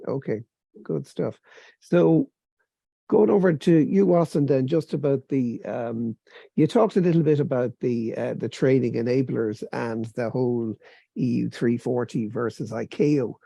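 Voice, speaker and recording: A man's voice: medium pitch, unhurried, vocal fry, warm tone, slightly rough, dry recording. A man moderating a panel discussion in a conversational way.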